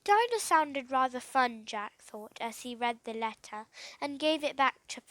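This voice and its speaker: A woman reading a children's adventure story aloud in an expressive way, as an audiobook reader. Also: high-pitched, unhurried, no room sound.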